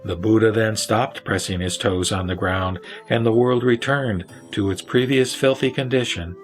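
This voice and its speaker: A man's voice: lowish voice, moderate pace, soft background music. A man reciting scripted Buddhist scripture as narrator, solemn and formal in manner.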